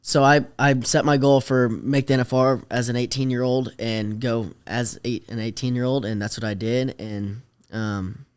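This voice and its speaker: A young man chatting casually as a podcast guest, easygoing and trailing off softly at the ends of his thoughts. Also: medium pitch, steady tone, moderate pace, few pauses, clean tone. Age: young adult. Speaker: podcast guest, rodeo rider. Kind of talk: casual interview chat.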